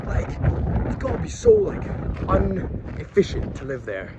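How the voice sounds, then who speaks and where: A man's voice: sits high, wildly swooping pitch, measured pace. A young man, chatting casually and rambling as he vlogs his day trip, swearing now and then. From Scotland. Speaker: travel vlogger. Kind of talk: casual vlog narration. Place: outdoors in a windy coastal town and city streets. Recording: noisy outdoor phone vlog.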